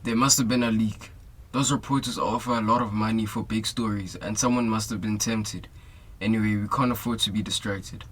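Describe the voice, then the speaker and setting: A steady, supportive police detective with a South African accent played by a young man. His voice is on the low side. The lines are delivered as radio drama dialogue. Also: fairly quick. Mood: probing. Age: young adult.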